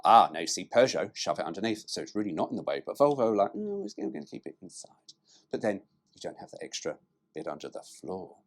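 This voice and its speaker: Man with wildly swooping, slightly husky delivery. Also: sits low, very animated, fast talker. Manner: cheeky, irreverent, sweary. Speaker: presenter. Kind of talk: reading a car brochure aloud with joking asides.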